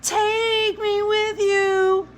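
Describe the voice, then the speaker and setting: A woman reading a storybook, voicing a young boy in a tantrum who howls and pleads in drawn-out cries. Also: very high voice, flat delivery, slight background noise, voice pushed up, exaggerated voice. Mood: howling, desperate. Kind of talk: storybook read-aloud.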